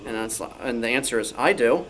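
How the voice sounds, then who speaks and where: A man's voice: mid-range voice, brisk, a crowd in the background. A man giving an informal, self-deprecating, anecdotal talk as a researcher and author at a club meeting. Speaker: old-time radio researcher and author. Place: club meeting room, indoors.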